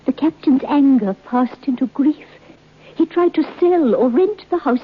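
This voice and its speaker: Knowing tiny spinster telling grim gossip on old radio, slightly rough voice, dramatic, tinny sound. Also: female voice, a little high. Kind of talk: old time radio drama acting, storytelling.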